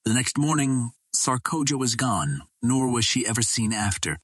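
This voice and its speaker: A man reading a first-person adventure story as a dramatic, expressive audiobook narrator. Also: medium pitch, moderate pace, slightly rough, no echo, studio quality.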